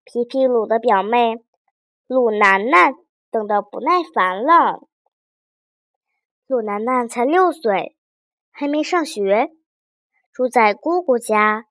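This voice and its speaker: A woman's voice: a little high, slow pace, long pauses, no room sound, clean studio sound. A woman narrating a children's fairy tale expressively, engaging young listeners as she goes. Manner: animated, addresses young listeners with questions. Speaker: children's storyteller.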